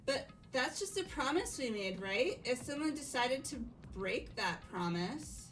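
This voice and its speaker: A timid teenage schoolgirl from a visual novel game, played by a woman. Her voice is very high, sweet and hesitant, with music playing faintly behind it. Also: very animated, unhurried, a bit bright, full character voice. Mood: frightened. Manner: hesitant, apologetic.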